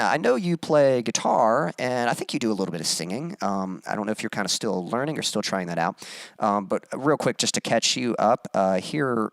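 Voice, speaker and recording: A man hosting his own music live stream, chatting casually with viewers and reading their comments aloud, with many pauses and fillers. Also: on the low side, no echo. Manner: relaxed, halting, many fillers, reads chat aloud.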